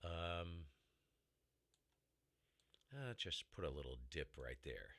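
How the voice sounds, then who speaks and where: Baritone man, very deep voice, bright and forceful, dead dry room, rambling how-to host. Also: big pitch swings, slow pace, dry recording. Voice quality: baritone, very low voice. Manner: rambling, self-interrupting explainer with mic tests. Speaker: ham radio tutorial host. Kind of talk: casual how-to demonstration. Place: heavily dampened home studio room.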